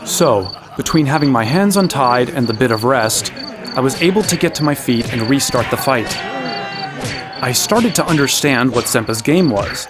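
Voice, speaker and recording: A man's voice: mid-range voice, animated, moderate pace, music in the background, natural voice. An actor playing an earnest young male fighter, telling his own story as narrator in a fantasy audio drama. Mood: earnest, recounting. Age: young adult. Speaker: first-person narrator.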